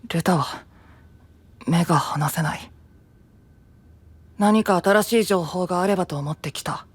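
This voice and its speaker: A man's voice: high-pitched, a little slow, husky, no echo. A man playing a cool, guarded, perceptive young knight in an anime-style voice drama, blunt and detached. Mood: calm, guarded.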